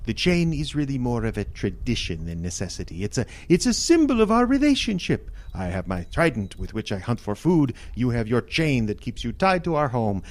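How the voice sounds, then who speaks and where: A man's voice: mid-range voice, very animated, normal speed, hardly any pauses, lightly acted. An actor playing a pushy, domineering merman suitor in a comic audio drama, with earnest, formal, old-fashioned diction. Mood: earnest, explaining.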